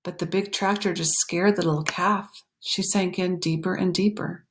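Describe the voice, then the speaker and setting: A woman's voice: fairly low pitch, a little hiss or hum. Woman reading a picture book aloud, expressively. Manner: animated, explanatory, pausing for emphasis and dropping to hushed phrases. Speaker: storytime reader. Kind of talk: expressive picture-book read-aloud with asides to young listeners.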